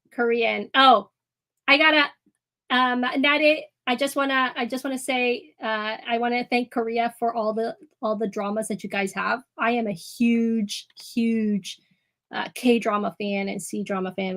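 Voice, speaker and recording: A woman's voice: fairly high pitch, steady tone, a little slow. A woman hosting an art livestream, chatting casually with viewers, rambling with lots of ums and restarts and easy laughs. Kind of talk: casual chat with live chat. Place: home room. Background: soft music.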